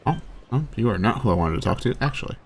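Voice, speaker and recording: A man's voice: lowish voice, animated, quick pace, a little hiss or hum. A man talking in slangy, casual gaming chat.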